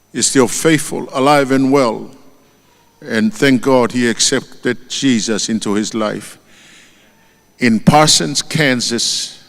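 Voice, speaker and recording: A man with a Tongan accent gives a funeral eulogy at a very unhurried pace. His delivery is solemn and measured, and he lifts his voice for each name. His words ring out with a long reverb, as in a large church. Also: medium pitch, a bit bright.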